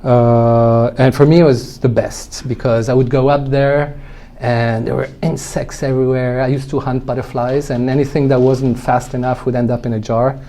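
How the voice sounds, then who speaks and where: A man with a French accent, a French native speaker, telling his life story in a relaxed interview, hesitating often and laughing easily. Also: medium pitch, normal speed. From born in El Salvador, raised abroad. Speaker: winemaker guest.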